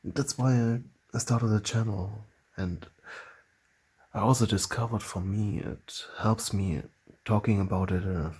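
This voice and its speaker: A man with a German accent, a native German speaker, hosting his own channel in a casual talk to camera and often pausing and restarting as he searches for words. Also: fairly low pitch, a little mellow, breathy edge. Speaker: channel host.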